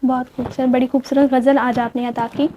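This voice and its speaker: A woman with a Pakistani accent and a slightly high voice hosts formally and politely. Her intonation stays very even. The recording sounds a little dull and muffled. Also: fairly quick, a little hiss or hum. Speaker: talk show host. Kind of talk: formal polite programme hosting.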